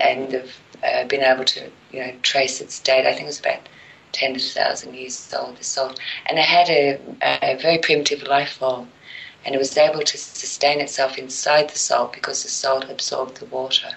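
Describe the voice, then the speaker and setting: A woman with a remarkably deep, gravelly voice, talking as a guest on an internet radio show. She stresses her points firmly and then lets her voice fade almost to a whisper. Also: poor audio. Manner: emphatic, trails off into near whispers. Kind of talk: casual internet radio chat.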